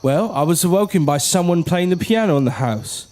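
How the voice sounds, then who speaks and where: Actor as impatient young man, mocking, blunt, slangy, highish voice, lively swooping intonation, horror audio drama. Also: brisk, close to an everyday voice. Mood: accusing.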